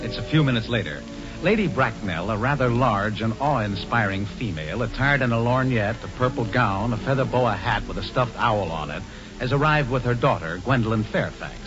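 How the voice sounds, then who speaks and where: A man giving wry, measured scripted narration for a 1940s radio drama. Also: mid-range voice, animated, lightly acted. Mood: amused, descriptive. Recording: dull 1940s radio broadcast with music.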